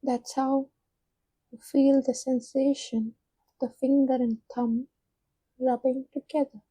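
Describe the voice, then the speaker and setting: A woman leading a guided meditation in a soft, soothing manner, giving unhurried instructions with long pauses. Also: sits high, level tone, breathy edge, dry recording.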